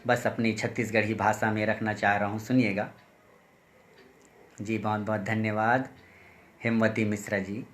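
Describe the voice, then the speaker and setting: A man's voice: little pitch movement, fairly bright tone. A man from Chhattisgarh at an online poetry session, greeting and thanking each listener by name in a formal, respectful manner. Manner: sings high and drawn out, spacing each phrase slowly. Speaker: poet. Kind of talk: sung Hindi poem, then spoken greetings at an online poetry session.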